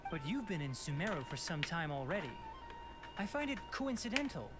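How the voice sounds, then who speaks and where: A young male guardian scholar in a video game, firm and protective. His delivery is articulate and lively in pitch, with music clearly behind it. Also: brisk. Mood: firm, defiant. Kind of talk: scripted video game voice acting.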